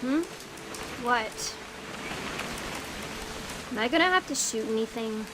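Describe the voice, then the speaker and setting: A reluctant daughter in a game scene. Her voice is high, with a dry, unenthusiastic manner. Rain sounds clearly around her. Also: animated, slow. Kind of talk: dramatic game dialogue. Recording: rainy outdoor noise behind.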